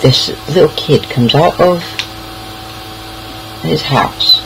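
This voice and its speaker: A man's voice: on the high side, slow, creaky, noisy background. A young British man casually telling anecdotes in a rambling, hesitant way, repeating words as he goes. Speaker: online storyteller.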